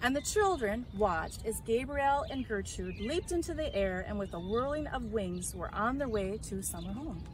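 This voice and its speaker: A woman reading a children's storybook aloud in an expressive, emphatic way. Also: on the high side, moderate pace, few pauses. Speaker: story reader. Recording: clear background noise.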